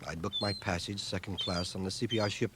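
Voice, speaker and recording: An actor playing a dry, self-deprecating bookish man who narrates his memoirs in a measured, literate radio drama style. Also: deep voice, steady tone, background noise, natural voice. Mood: wry, reflective. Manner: measured, literate, wry delivery. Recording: 1980 radio drama, home cassette transfer.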